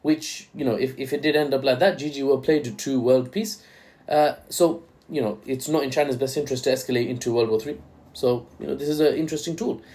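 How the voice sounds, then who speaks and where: A man's voice: medium pitch, fairly even intonation, brisk, a little mellow. Joking young man commenting on news. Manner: joking, irreverent asides.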